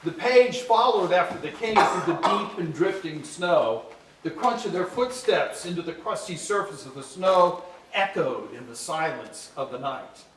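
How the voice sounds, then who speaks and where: A man's voice: fairly high pitch, animated, unhurried, fairly bright tone. A man playing a storyteller, giving dramatic, scripted storybook narration on stage. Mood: suspenseful. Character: male storyteller narrating an old winter tale. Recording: echoey hall, far mic, faint noise.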